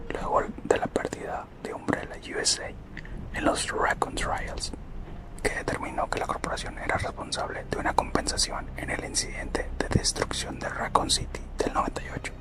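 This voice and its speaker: A person whispering a scripted ASMR roleplay narration in a slow, deliberate way, as a corporate recruiter. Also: poor audio. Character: corporate recruiter recounting company history. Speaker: ASMR content creator. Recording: close whisper over loud background music. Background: music.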